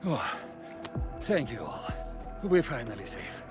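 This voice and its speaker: A man's voice: mid-range voice, very animated, takes it slowly, over music. A man playing a friendly, grateful worker in a video game, casual and earnest. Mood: relieved.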